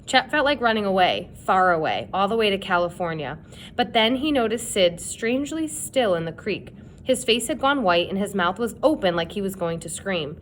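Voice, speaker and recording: A woman's voice: big pitch swings, normal speed, a bit bright. A woman reading a children's chapter book aloud as a dramatic, suspenseful storyteller, with noise behind. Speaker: storybook reader. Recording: clear background noise with rumble.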